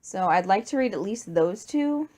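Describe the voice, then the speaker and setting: Mid-pitched woman reading her fan fiction. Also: animated, moderate pace, darkish tone. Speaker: fan fiction writer and reader. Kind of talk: scripted story narration and casual chat.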